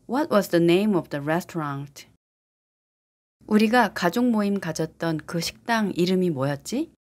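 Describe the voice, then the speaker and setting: Fast-talking female teacher, lower-pitched. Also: expressive, a little mellow. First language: Korean. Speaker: English teacher. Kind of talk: language drill, reading example sentences to repeat.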